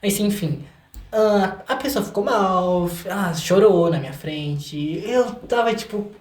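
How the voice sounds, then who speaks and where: A young Brazilian man talking casually and candidly as a guest in an interview, with an expressive manner. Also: high-pitched, slow pace. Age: young adult. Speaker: guest, singer and songwriter. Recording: roomy, echoey room sound.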